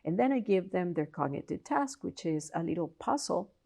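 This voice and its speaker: A woman professor from Colombia speaks with a Colombian Spanish accent. Her voice sits a little low and carries a gravelly edge. She explains things earnestly, often restarting her sentences. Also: animated, moderate pace, warm tone. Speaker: professor and researcher, interview guest. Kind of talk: interview answers.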